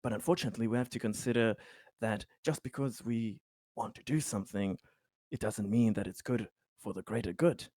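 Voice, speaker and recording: A man playing a placating yet firm bureaucratic official in improvised tabletop roleplay, speaking haltingly and officiously with long pauses. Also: on the low side, warm tone, no echo, studio quality, natural voice.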